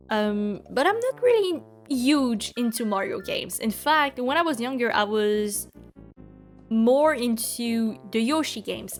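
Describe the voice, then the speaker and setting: French Canadian woman, young, chatty, higher voice, ums and likes, soft music behind. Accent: Quebec French. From Quebec, Canada. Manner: chatty, many ums and likes, laughs often. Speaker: bullet journal YouTuber. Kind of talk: casual voice-over commentary.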